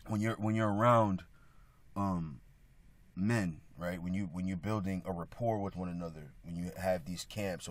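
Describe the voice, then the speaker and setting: Deep hoarse man, halting talk. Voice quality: raspy. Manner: halting, many restarts, clears throat often. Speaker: religious video speaker. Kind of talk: casual religious talk.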